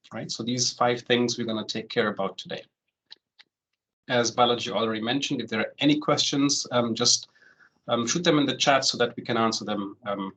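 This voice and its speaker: A man in his forties who grew up in Germany, a home loan consultant giving an online presentation in a relaxed way, full of "um" fillers and the tag "right?". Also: medium pitch, rough recording. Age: 40s. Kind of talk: online presentation, walking through steps.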